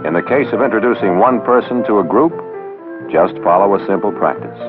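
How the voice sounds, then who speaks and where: A man's voice: fairly low pitch, lively intonation, brisk. A man narrating a 1960s training film, instructing with wry, sarcastic asides. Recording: dull old film soundtrack with music. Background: background music.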